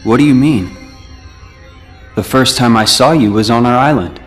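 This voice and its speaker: An actor playing a calm, wry young male companion in video game cutscenes, speaking in a measured, dry, serious way. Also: mid-range voice, natural voice. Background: faint game music.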